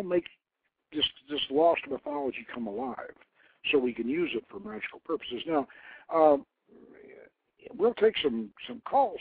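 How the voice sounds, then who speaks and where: A man hosting a radio show, explaining things informally in a halting way, repeating and rephrasing as he goes. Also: on the high side, creaky, slight background noise. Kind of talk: informal explanatory talk, taking calls. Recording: narrow phone-like internet radio line.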